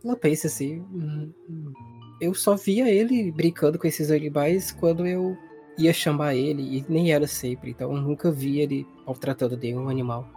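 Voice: medium pitch, steady tone, moderate pace, dark tone, faint music behind, natural voice. A game master voicing a hesitant, cooperative mother in a tabletop mystery game. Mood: mildly defensive.

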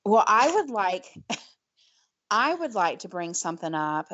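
Chatty Southern podcast host who laughs often. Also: female voice, mid-range voice, sing-song, a little slow, fairly bright tone, highs cut off. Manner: laughs easily, chatty with fillers. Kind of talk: casual chat.

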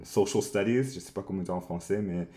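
A man from Montreal talking as a guest in a casual interview, halting often, restarting phrases and slipping into English words. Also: sits low, fairly even intonation, dark tone. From Montreal, Canada.

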